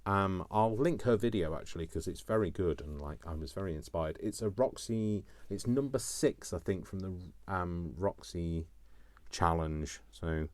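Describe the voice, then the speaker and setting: A man's voice: low voice, a little slow, a little mellow, no echo. A man presenting a craft tutorial in a casual, chatty way, rambling with self-deprecating, jokey asides. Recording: noticeable background noise, small clicks.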